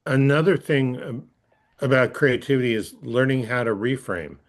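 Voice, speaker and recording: A man answering as a coach in a conversational interview, thinking aloud in a thoughtful, halting way with repeated phrases. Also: mid-range voice.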